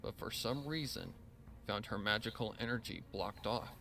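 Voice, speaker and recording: A man's voice: on the low side, moderate pace, bright, forceful tone, a little hiss or hum. A man reading a scripted story as narrator, with a solemn, dramatic delivery. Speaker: narrator reading fan fiction.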